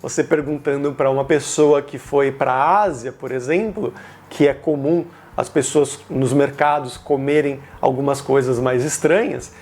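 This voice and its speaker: A man's voice: medium pitch, fairly even intonation, unhurried, a little mellow. A man with a Brazilian accent giving an informal language lesson, explaining didactically and stressing key words. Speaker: language teacher, video host. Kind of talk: informal grammar lesson to camera.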